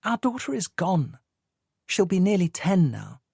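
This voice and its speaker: A man's voice: high voice, sing-song, a little slow, creaky, a little mellow, slightly rough, no room sound, clean studio sound, voice pushed up, heavily acted. A man voicing a cold, cutting woman. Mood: cool, cutting. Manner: cool, cutting, dismissive. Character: cold, evasive woman in her thirties. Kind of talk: audiobook character reading.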